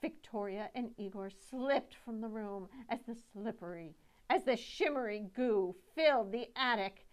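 A middle-aged woman reading a picture book aloud to children, with dramatic, deliberate phrasing. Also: on the high side. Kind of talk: expressive storytime read-aloud.

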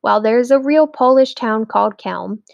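A woman's voice: on the high side, normal speed, vocal fry, no echo, muffled recording. A woman reading a children's story aloud as a storyteller, in scripted narration.

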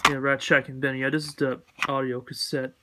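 A man giving a casual, unscripted collection update in a halting, repetitive, matter-of-fact way. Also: medium pitch, level tone, heavy background noise. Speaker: collector showing purchases.

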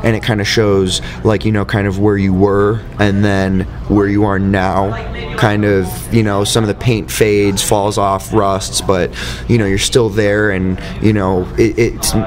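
A man's voice: lowish voice, measured pace, a crowd in the background. A young man, a band member, answering interview questions in a loose, rambling way with lots of fillers, seated on a living-room couch. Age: young adult. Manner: rambling, relaxed, many fillers. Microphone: far camera mic.

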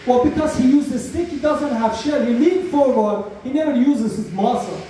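A young man with a Persian accent performs stand-up in a small comedy club, his voice pitched fairly high. He talks in animated, slightly broken English phrases. The sound is strongly echoey, picked up by a camera from across the room. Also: normal speed, far from the mic, slight background noise. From Iran. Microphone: handheld mic, heard from a far camera.

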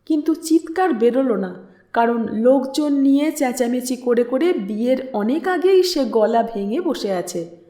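A woman reading a literary story aloud as an audiobook narrator, in an expressive, dramatic way. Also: a little high, unhurried. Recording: roomy echo on the voice.

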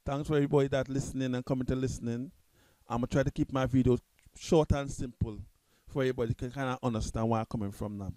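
A man with a Trinidadian accent, talking loosely through a music software tutorial at his computer, repeating himself and restarting often. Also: medium pitch, fairly even intonation, quick pace, dry recording. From Trinidad and Tobago. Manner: loose, repetitive, restarts a lot. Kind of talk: casual screen-recorded software tutorial.

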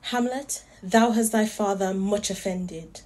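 A woman's voice: medium pitch, slow pace, a little mellow, natural voice. A woman playing a reproachful, alarmed queen and mother in a Shakespearean tragedy for audio.

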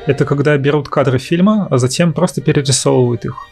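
A man's voice: mid-range voice, fast talker, darkish tone. Guy doing a casual review voiceover, music playing behind him. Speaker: host reviewer of cartoons. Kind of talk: casual scripted voice-over review.

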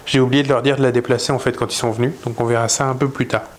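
A man in his thirties casually showing his woodworking workshop, talking in a relaxed way with many pauses and fillers. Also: medium pitch. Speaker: woodworking channel host. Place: indoor wood workshop.

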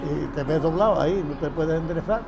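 A man's voice: on the high side, fast, creaky. Older man, plain-spoken, over music. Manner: plain-spoken, repeats phrases, chuckles often. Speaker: former patient. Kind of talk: casual interview answers. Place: shaded outdoor patio. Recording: music bed underneath. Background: background music.

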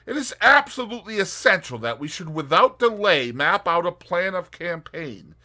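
A man reading an audiobook, voicing a brisk and determined young woman detective with emphatic, crisp speech. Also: high voice, sing-song, bright, forceful tone, voice pushed up, full character voice.

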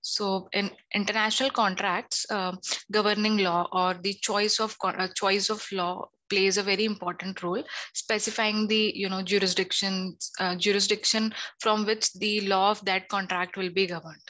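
A woman's voice: medium pitch, little pitch movement, moderate pace, pushed and bright, rough recording. A young woman from India on a headset video call, explaining law hesitantly with many fillers. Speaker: legal analyst guest.

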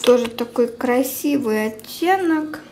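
A young woman chatting casually through a product review as a beauty vlogger, informal and unhurried, thinking aloud. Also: fairly high pitch, expressive, a little mellow. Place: indoors at a table at home. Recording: clear background room noise.

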